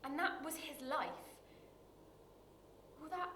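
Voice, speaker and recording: A woman acting a dramatic screen monologue as a bitter, wounded working-class woman, confessional and halting, with weighted pauses. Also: high-pitched, pushed and bright, exaggerated voice. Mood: bitter, intense. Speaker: actor performing a monologue. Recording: roomy echo with light background noise.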